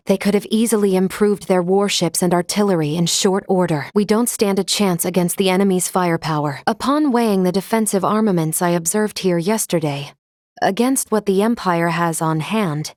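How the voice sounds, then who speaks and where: A woman playing a logical, polite, protective android first mate in a video game, speaking with formal, precise, measured diction. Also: medium pitch, a little mellow, no room sound, studio quality, close to an everyday voice. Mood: calm, analytical. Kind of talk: scripted video game character lines.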